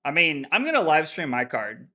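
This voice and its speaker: A man's voice: on the high side, wildly swooping pitch, normal speed, pushed and bright. A man co-hosting a casual podcast chat, rambling and filling his talk with "like". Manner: rambling, heavy use of "like". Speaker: podcast co-host.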